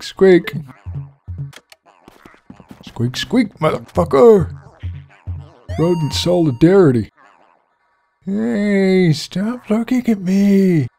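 A young man with a relaxing voice commenting casually on a game, swearing freely and poking fun at himself. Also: on the high side. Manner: relaxed, profane, self-deprecating rambling. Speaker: let's play host.

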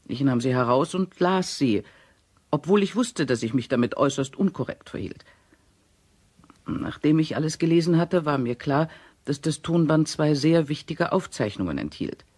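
An actor playing a hotelier who gives a measured, slightly embarrassed testimony in a radio play. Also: male voice, medium pitch, a little mellow, no echo. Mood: confiding, sheepish. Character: male hotelier confessing a nosy deed, slightly embarrassed, correct.

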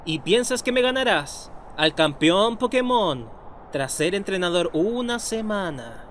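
A man's voice: sits high, sing-song, unhurried, a bit bright, a little hiss or hum, heavily acted. A man voicing a jaded, sarcastic retired champion in a Latin American Spanish cartoon parody fandub. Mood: weary, disbelieving. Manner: sarcastic, exasperated. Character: jaded retired male Pokémon champion, sarcastic, easily exasperated. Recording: background music under voice.

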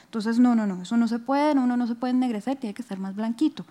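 A woman's voice: on the high side, fast talker, mellow. A woman giving an informal public lecture, explaining and often checking with short tag questions. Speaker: lecturer, music researcher. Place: auditorium stage at a book fair. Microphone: headset.

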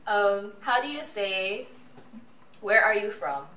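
Lively young woman hosting a chat. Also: mid-range voice. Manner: playful, repeats phrases slowly. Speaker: host. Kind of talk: casual language-learning chat. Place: bright indoor lobby, seated on a couch. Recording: roomy, distant mic with faint hum.

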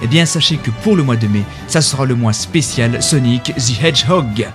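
A man's voice: mid-range voice, moderate pace. A man hosting a web radio show, delivering an upbeat, emphatic, chatty scripted promo. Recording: music behind the voice. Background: music.

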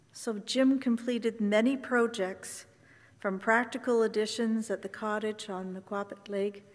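A woman minister giving a gentle, reflective funeral sermon from the pulpit in a large church. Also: medium pitch. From Canada. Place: large wooden church sanctuary with choir. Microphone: pulpit microphone from far camera. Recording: long church reverb.

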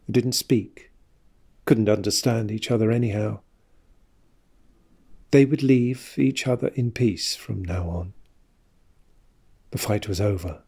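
Audio drama narrator, male, slightly husky, measured and serious, scripted literary storytelling. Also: medium pitch, darkish tone.

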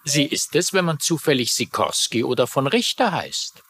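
Bright-voiced, smug amateur sleuth, male. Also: mid-range voice, sing-song, normal speed. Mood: smug, insistent. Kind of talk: dubbed TV crime drama acting.

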